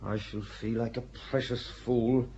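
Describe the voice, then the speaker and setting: An actor playing a blustering squire who swings between bossy orders and exasperated hesitation in a radio drama. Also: male voice, mid-range voice, flat delivery, measured pace, dark tone, lo-fi sound, a little hiss or hum, lightly acted. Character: blustering, self-doubting squire commanding a castle.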